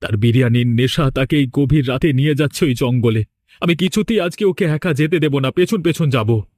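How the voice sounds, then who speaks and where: A man plays a village father in a horror cartoon. He is protective, suspicious and dramatically insistent, with a medium-pitched voice recorded very dry. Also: brisk, darkish tone, dry recording, lightly acted. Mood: worried resolve.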